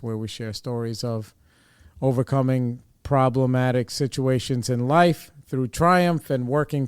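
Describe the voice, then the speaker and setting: A man's voice: mid-range voice, a little mellow, clean tone. A man hosting a podcast, chatting casually and laughing easily with his guests. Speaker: podcast host. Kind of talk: casual interview chat.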